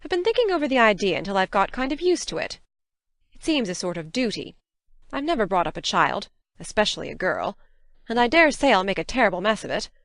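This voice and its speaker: A stern middle-aged spinster of the farm , acted by a woman, speaks with brisk authority and a very animated pitch. Her manner is crisp, practical and scolding, in an audiobook drama. The sound is dry and close. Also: no room sound. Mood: grudging, firm.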